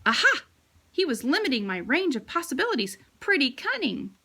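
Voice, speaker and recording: A woman's voice: sits high, very animated, normal speed, vocal fry, pushed and bright, pitched above the performer's own voice, heavily acted. A woman voicing a small, eager male pet hamster who tells his adventures in a playful storybook manner. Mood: sly, excited.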